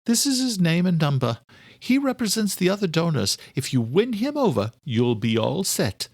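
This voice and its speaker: A man voicing a courteous but firm female museum director in audiobook dialogue, speaking in a formal, measured, official way. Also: a little high, sing-song, dry recording, studio quality, pitched above the performer's own voice.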